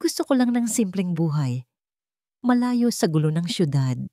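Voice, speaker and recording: A woman playing a quiet, caring but evasive young man in a radio drama, hesitant in manner. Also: on the low side, very animated, moderate pace, dry recording, studio quality. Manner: hesitant, concerned. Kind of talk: Tagalog radio drama storytelling.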